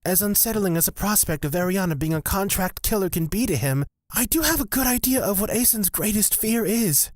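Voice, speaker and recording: A woman's voice: medium pitch, animated, fairly quick, a little mellow, dry recording, clean studio sound, close to an everyday voice. Woman acting pensive, dryly wry male witch. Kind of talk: audio drama acting.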